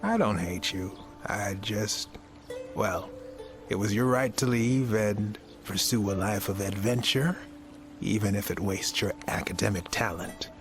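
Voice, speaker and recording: A weary male scholar in a game cutscene, bookish and bitter. The voice is gravelly and unhurried, with halting phrases, over clear background music. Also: fairly low pitch. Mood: resigned, bitter. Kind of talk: video game cutscene acting. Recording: music under the voice.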